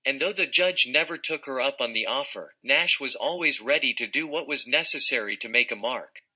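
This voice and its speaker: Very bright, forceful male narrator. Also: mid-range voice, normal speed. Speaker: narrator reading an article. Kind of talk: scripted read-aloud narration.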